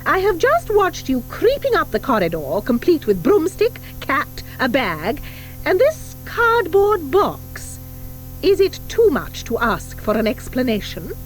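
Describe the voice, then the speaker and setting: A woman's voice: sits high, huge pitch swings, measured pace, soft background music, voice pushed up, full character voice, rough recording. A woman voicing a stern, icy schoolmistress witch in an audiobook, with a clipped, disdainful and sarcastic manner. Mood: frosty.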